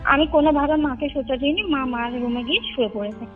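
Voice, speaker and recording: A woman's voice: high-pitched, fast. A young woman with a Kolkata Bengali accent tells a personal story conversationally and emphatically, repeating points and checking that the listener follows. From Kolkata, India. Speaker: caller recounting a personal experience. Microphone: phone call. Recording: tinny phone line with music underneath. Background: clear music bed.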